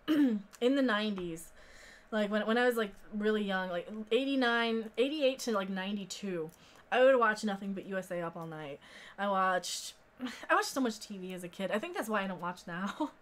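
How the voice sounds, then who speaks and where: A woman's voice: mid-range voice, lively intonation, normal speed. An American woman in her thirties hosting a live stream, talking in a rambling, informal, sweary way as she answers viewer comments. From central United States. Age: 30s.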